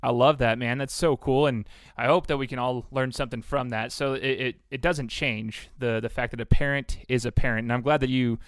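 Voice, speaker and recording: A man's voice: mid-range voice, steady tone, brisk, no room sound. A man hosting a casual sports interview podcast, upbeat and chatty with plenty of fillers. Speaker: podcast host.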